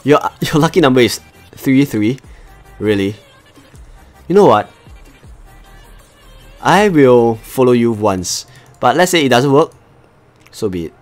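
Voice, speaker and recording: A man's voice: medium pitch, animated, unhurried, pauses often, faint music behind. A man from Singapore with a Singaporean accent, chatting casually to viewers as he hosts a live game stream. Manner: chatty, talks to viewers as he plays. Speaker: livestream host.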